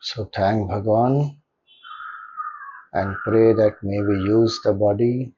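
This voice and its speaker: A man with an Indian accent leading a guided meditation as a spiritual teacher, speaking calmly and gently with deliberate pauses. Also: fairly low pitch, highs cut off, slight background noise.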